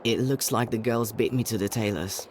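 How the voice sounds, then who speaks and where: An actor playing a brave, earnest young boy in an adventure game, with expressive delivery. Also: medium pitch, moderate pace, background noise, close to an everyday voice. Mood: curious. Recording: music under the voice.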